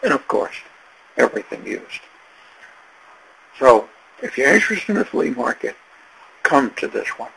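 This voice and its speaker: A man narrating a travel video in a slow, unhurried, plain-spoken voice-over. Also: mid-range voice, sing-song, vocal fry, pushed and bright, husky, poor audio.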